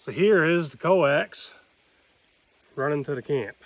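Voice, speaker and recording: A man's voice: a little high, lively intonation, takes it slowly, low-quality recording. A man chatting casually and explaining a hands-on job as he works, with dry, teasing banter. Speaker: amateur radio hobbyist hosting a homestead vlog. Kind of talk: casual chat and narrated how-to while working. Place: outdoors at a rural camp in woods.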